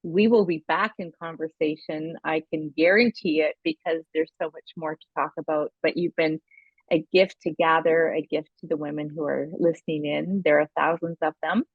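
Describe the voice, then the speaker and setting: A woman's voice: deep voice, fairly even intonation, moderate pace, dry recording. A woman hosting a conversational interview, effusive and affirming, over a narrow, call-like connection. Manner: affirming, effusive, gropes for words in lists. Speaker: podcast host.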